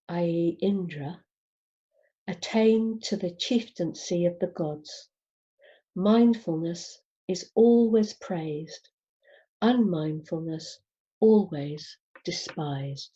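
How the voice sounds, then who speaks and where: A woman reading scripture aloud in a measured, deliberate way, pausing between short phrases. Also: on the low side, expressive, warm tone. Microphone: video call over Zoom. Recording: dull, lo-fi video call.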